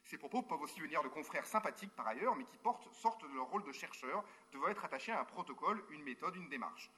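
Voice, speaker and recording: A man's voice: a little high, expressive, fast, pushed and bright, a little hiss or hum. A man who is a university researcher, reading a prepared formal talk to a conference over a remote link, breaking off now and then for spoken asides and hesitations.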